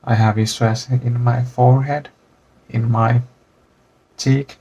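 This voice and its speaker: A man in his thirties from Finland, telling his own story as a patient, halting and searching for words. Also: mid-range voice, little pitch movement, dark tone, slightly rough, lo-fi sound. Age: 30s. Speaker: patient giving testimony. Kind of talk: recorded personal testimony.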